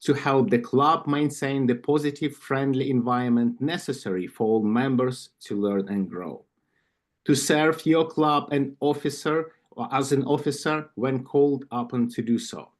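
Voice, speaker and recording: A man with a Ukrainian accent reading an induction script on a video call, slowly and carefully, with asides and small self-corrections. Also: mid-range voice. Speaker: club vice president of membership. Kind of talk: reading an induction ceremony script at an online club meeting.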